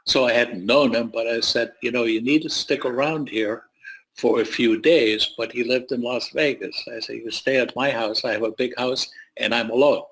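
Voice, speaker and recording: A man's voice: medium pitch, steady tone, normal speed, a bit bright, breathy edge, rough recording. Older man telling his life story. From born in Poland, grew up in the United States. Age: 70s or older. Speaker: guest, retired surgeon and medical advisor. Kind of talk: autobiographical storytelling in an interview.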